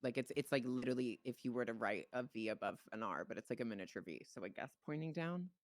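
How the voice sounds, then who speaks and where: Animated, informal man from Czechia, dry sound. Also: medium pitch, fast talker, no room sound. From Czech Republic. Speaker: streamer playing a dispatcher in online roleplay. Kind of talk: casual banter in game roleplay.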